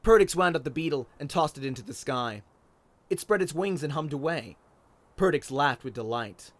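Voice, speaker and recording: A man's voice: a little high, expressive, moderate pace. A man with an Australian accent giving dramatic scripted narration as a storyteller in a radio-play style. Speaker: storyteller, voice actor.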